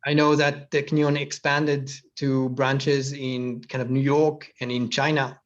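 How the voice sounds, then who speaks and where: A man's voice: mid-range voice, level tone, unhurried. A man with an Israeli accent speaking on a panel over a video call, thinking aloud with many fillers. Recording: muffled video call audio.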